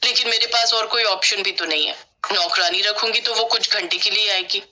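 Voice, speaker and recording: A woman's voice: medium pitch, a little fast, sharp tone. A woman voicing a selfish, snobbish young pregnant sister-in-law from the city in a wheedling, self-serving manner for a story. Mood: whiny, calculating.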